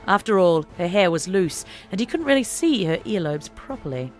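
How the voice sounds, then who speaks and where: A woman's voice: fairly low pitch, very animated, normal speed, soft background music. A woman narrating an audiobook story in a dramatic, scripted reading style.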